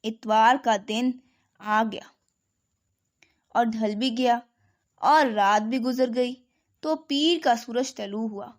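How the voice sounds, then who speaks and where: Woman reading a kids story as narrator, high voice, takes it slow. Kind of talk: scripted children's story narration.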